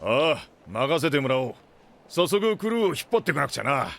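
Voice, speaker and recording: A male actor playing a gruff, blustery middle-aged starship captain in a Japanese audio drama, with rough, brusque, slangy speech. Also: a little high, big pitch swings, a little fast, a bit bright, exaggerated voice. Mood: pleased, businesslike. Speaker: ship captain.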